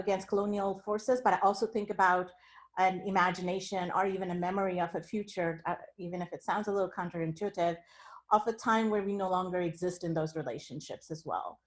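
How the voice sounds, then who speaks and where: A woman from India giving an academic keynote talk over a video call, speaking in an earnest, reflective way. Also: medium pitch. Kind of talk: academic talk sharing her screen.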